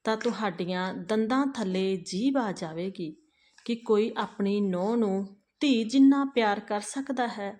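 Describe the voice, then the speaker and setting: A happy young married daughter in a spoken storybook, earnest and warm toward her family. A woman reads her lines in her own voice. She pleads her case sincerely. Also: medium pitch, measured pace, lightly acted.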